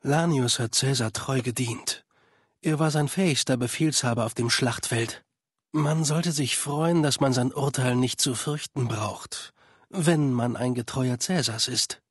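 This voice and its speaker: A man voicing a cold, calculating spymaster of a legion in a video game, speaking in a formal, measured, condescending way. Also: medium pitch, husky, no echo, studio quality. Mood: cool, assured. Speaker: game character voice actor. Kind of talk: dubbed video game dialogue.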